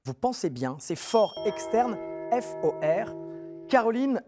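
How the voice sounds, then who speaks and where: Lively, encouraging quiz show host, man. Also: sits high, slow pace, music in the background. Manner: friendly, encouraging, clear question reading. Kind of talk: TV quiz hosting, reading questions.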